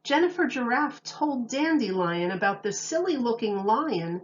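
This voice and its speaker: A woman teacher reading a children's story aloud, expressively, with deliberate, emphatic phrasing. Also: on the high side, very animated, dull audio, a little hiss or hum.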